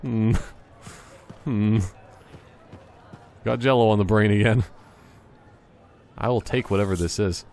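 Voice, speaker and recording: A man giving casual gameplay commentary in a rambling, joking, halting way. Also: fairly low pitch, fairly even intonation, darkish tone. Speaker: gaming commentator.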